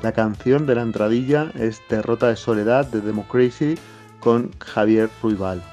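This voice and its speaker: A man's voice: medium pitch, moderate pace. A man reading scripted credits as an announcer. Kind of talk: scripted narration. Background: guitar music.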